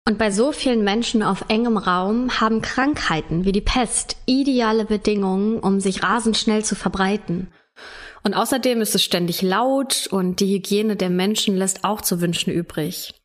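A woman's voice: mid-range voice, moderate pace. A female true crime podcast co-host who talks in a casual, chatty way and laughs easily.

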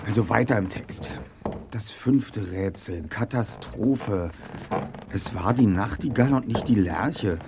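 A man's voice: lowish voice, takes it slowly, noisy background. A man acting as a brainy, precise teenage boy detective leader in a German audio drama, articulate and pedantic. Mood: pondering, lecturing.

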